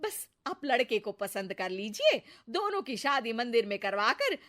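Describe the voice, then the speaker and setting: Brash older matchmaking sister, cartoon comedy, female narrator acting: high, sharp, chatty, wheedling. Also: big pitch swings, unhurried. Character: elderly groom's sister, brash, scheming matchmaker.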